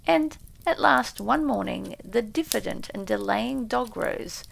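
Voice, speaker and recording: A woman reading a classic storybook as an audiobook narrator, in a measured, expressive way. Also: on the high side, creaky, poor audio.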